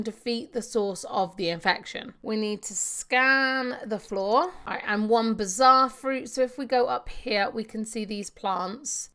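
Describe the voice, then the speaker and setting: A woman's voice: fairly high pitch, a bit bright. A woman hosting a casual game walkthrough, chattily talking through each step and sharing tips. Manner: chatty, narrates own actions step by step, reads pop-ups aloud. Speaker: gaming video host.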